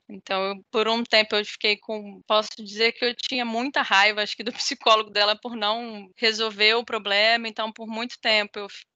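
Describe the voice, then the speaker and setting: A woman talks as a podcast guest, soft-spoken and a little hesitant but candid. Her voice is bright and tense, with a flat, even pitch. The recording is dry and slightly muffled. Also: no echo. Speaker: guest, doctor. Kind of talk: casual chat.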